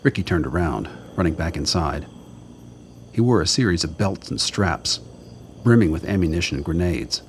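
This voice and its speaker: A man's voice: low-pitched, animated, moderate pace, slight background noise. A man reading scripted narration for a radio drama.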